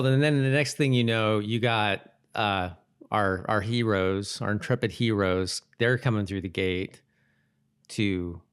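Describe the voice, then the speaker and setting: Male podcast co-host, slightly low register, informal and halting, circles back over his phrases. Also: smooth. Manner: halting, repeats himself, searching for words. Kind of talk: casual episode recap chat.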